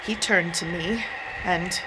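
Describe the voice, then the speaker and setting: A woman's voice: on the low side, takes it slowly. A woman giving a dramatic live recitation of narrative verse, theatrical and emphatic. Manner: theatrical, emphatic, with hushed asides. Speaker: poetry reciter. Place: live performance before listeners. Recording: live performance, faint background noise.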